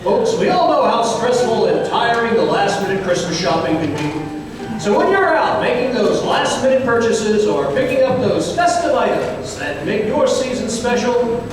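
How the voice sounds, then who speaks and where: A man's voice: extremely high pitch, sing-song, normal speed. A man hosting an old-time radio show, reading a sponsor message as a folksy announcer. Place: live stage performance in a hall. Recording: very echoey hall, distant mic, faint noise.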